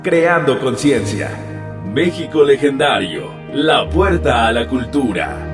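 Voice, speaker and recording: Lively male promo announcer over guitar. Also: medium pitch. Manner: smooth, measured announcing. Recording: distant voice under loud guitar music.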